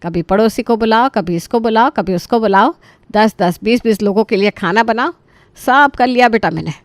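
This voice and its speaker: A woman voicing an exasperated older mother who complains loudly and emphatically in a dramatic story. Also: a little high, normal speed, dry recording, lightly acted. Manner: loud, emphatic, grumbling.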